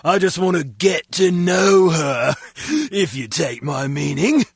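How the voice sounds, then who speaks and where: A man playing a crude, cocky henchman guard in a spy video game, with a raspy voice. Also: a little high, slow, no echo, studio quality, full character voice. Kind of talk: video game dialogue acting.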